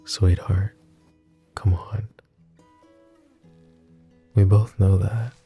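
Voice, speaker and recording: A man voicing a wry, tender mafia boss in an ASMR roleplay, soft-spoken and teasing. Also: low-pitched, level tone, extremely slow, breathy edge, soft background music, exaggerated voice. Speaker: voice actor.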